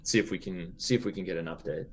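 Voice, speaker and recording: A man's voice: deep voice, fast talker. A man playing a tabletop roleplaying game, bantering casually with wry, joking remarks. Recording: noticeable background noise.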